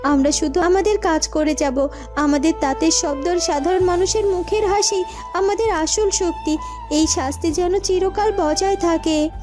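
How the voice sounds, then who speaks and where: A woman's voice: very high-pitched, measured pace, music in the background. A woman voicing a kind, dignified young cartoon princess who speaks in a reassuring, moralizing way. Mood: gentle, wise. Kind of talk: Bengali fairy-tale cartoon dubbing.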